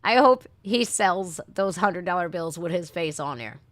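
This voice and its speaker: A woman's voice: lowish voice, animated, measured pace, no echo. An American woman hosting a livestream reaction, chatting and ranting casually in blunt, sweary, folksy slang. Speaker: reaction video host. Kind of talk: casual livestream rant and chat.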